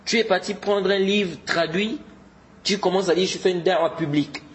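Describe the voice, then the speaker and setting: A man's voice: high voice, steady tone, moderate pace, a little hiss or hum. A man giving an informal religious lesson, exhorting his listeners and often repeating his points with Arabic religious terms mixed in. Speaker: religious teacher.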